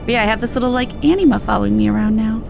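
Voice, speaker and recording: A woman streaming a game, chatting casually in an excitable, playful, informal way. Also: on the high side, racing, vocal fry, music in the background. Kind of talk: casual live gameplay chat.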